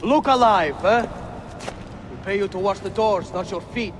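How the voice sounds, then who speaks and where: Man playing a high-voiced, stern guard captain. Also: normal speed, background noise, lightly acted. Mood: curt, scornful. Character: stern, commanding male guard captain, curt and wary. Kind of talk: video game cutscene acting.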